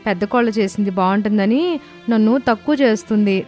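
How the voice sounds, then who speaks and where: A woman playing a hurt, hot-headed young daughter-in-law in an animated story, aggrieved and protesting. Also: medium pitch, quick pace, lightly acted. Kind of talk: animated moral story dialogue. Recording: voice over prominent music bed.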